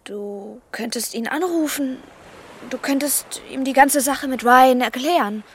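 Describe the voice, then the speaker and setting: A young woman playing a caring, persuasive teenage girl and loyal friend in an audio drama, gentle and coaxing. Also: high-pitched, unhurried, a little hiss or hum. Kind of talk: acted audio drama dialogue.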